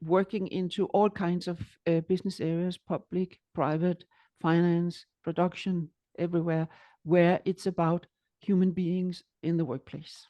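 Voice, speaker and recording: A woman's voice: on the low side, little pitch movement, a little slow, dark tone, dry recording. A woman, a business psychologist co-hosting an interview, speaking in short listed phrases. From Denmark.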